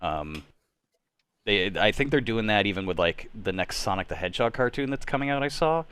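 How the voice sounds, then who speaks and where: A man's voice: fairly low pitch, expressive, a little fast, fairly bright tone, smooth, no echo. A young man chatting casually as a fan, giving emphatic opinions. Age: young adult.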